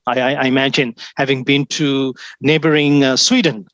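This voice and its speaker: A man's voice: mid-range voice, steady tone, moderate pace, dry recording, highs cut off. A man hosting an interview, asking questions and often murmuring agreement. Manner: warm encouraging listener, many backchannels. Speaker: interview host. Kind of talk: casual interview.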